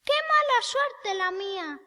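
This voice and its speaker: A woman's voice: very high-pitched, lively intonation, unhurried, bright, forceful tone, pitched above the performer's own voice, heavily acted. A woman voicing a tiny, cheerful, clever little boy in a children's storybook, with a chirpy, childlike delivery. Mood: rueful. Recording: long hall reverb, some noise.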